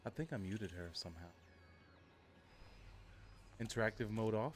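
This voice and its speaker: A man's voice: fairly low pitch, moderate pace. A man streaming a video game, casually chatting and giving short voice commands. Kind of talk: casual live gaming commentary and voice commands. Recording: steady background noise.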